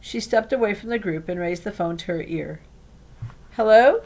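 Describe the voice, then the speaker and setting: A woman reading a children's storybook aloud in an expressive way. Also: lowish voice, a little fast. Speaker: read-aloud reader.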